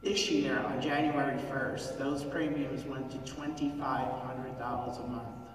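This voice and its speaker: A man in his fifties, a physician, speaking at a town hall in a halting, earnest way and clearing his throat between phrases. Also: mid-range voice, little pitch movement, a little hiss or hum. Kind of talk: public comment at a town hall. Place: large theater hall. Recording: echoey theater heard from far off.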